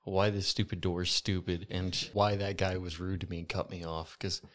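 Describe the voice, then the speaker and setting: A man's voice: sits low, little pitch movement, normal speed. A man playing a tabletop game, chatting casually and speaking in character. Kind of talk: casual in-character roleplay and chat.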